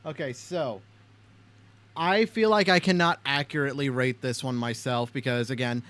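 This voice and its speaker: A man's voice: medium pitch, moderate pace, fairly bright tone. A man hosting a casual reaction video, talking bluntly and swearing a lot. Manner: blunt, heavily profane.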